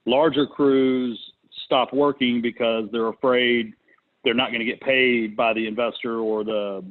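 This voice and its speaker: A man talks through a thin, phone-like connection as host of a business call. His delivery is casual and unscripted. He pauses to think as he goes. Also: mid-range voice, steady tone. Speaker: conference call host, real estate investor. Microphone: conference call connection. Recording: muffled, narrow phone-line sound.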